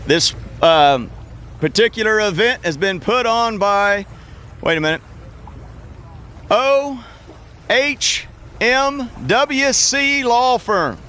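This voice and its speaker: A man hosting a youth fishing tournament weigh-in outdoors at a lake, announcing loudly in an easygoing, joking way. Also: sits high, animated, slow, pauses often. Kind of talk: live event announcing.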